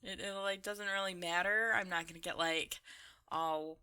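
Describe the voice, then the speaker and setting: A woman's voice: on the low side, steady tone, moderate pace, bright, forceful tone, clean tone. A young woman chatting casually in an informal, rambling, slangy way, like commentary over gameplay. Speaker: gaming video creator. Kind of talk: casual chatty commentary while playing a game.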